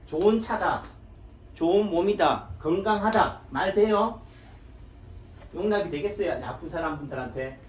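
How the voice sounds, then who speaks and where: A middle-aged man from the Gyeongsang region gives an informal health lecture, his voice sitting somewhat high for a man. He keeps asking rhetorical questions and answering them himself. The sound rings around an echoey room. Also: animated, moderate pace, a little hiss or hum. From Gyeongsang region of Korea. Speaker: Korean medicine doctor.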